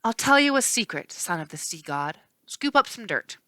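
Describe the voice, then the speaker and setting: A woman's voice: mid-range voice, big pitch swings, moderate pace, bright, forceful tone, no echo. A woman voicing a feisty, indignant young female river nymph in a storybook, sassy and emphatic. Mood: grudging, explanatory.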